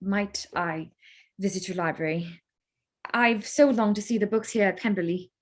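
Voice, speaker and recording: A woman playing a guarded young gentlewoman in a period drama reading, polite and reserved. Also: mid-range voice, moderate pace, lightly acted. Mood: flustered courtesy. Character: poised, guarded young gentlewoman, Lizzie Bennet.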